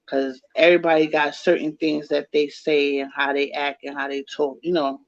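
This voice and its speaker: A woman's voice: low voice, little pitch movement, normal speed, lo-fi sound. A middle-aged woman with a Bronx New York accent, co-hosting a casual live chat, playful and insistent, laughing often. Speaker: co-host of a live chat show.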